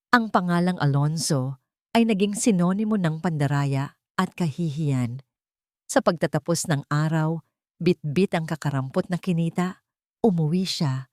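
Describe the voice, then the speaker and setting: A woman narrating a dramatic first-person audiobook story. Her voice sits slightly low, and the delivery is measured and dramatic, recorded close and completely dry. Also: darkish tone, dry recording.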